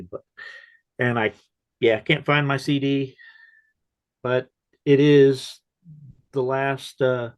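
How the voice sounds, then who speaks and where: A man co-hosting a casual music chat, tired from no sleep, with dry, self-mocking humor. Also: medium pitch. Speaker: co-host.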